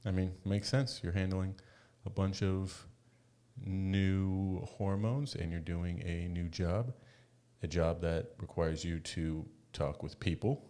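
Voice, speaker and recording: A man's voice: low-pitched, steady tone, slow, mellow. A man co-hosting a podcast in informal, encouraging conversation. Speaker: podcast co-host. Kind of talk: casual chat.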